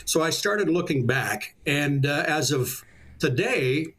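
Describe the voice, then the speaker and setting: A man's voice: a little high, animated, takes it slowly, a bit bright, breathy edge. A middle-aged Canadian man from small-town Saskatchewan, a public address announcer, chatting casually and reminiscing in an interview in a folksy way.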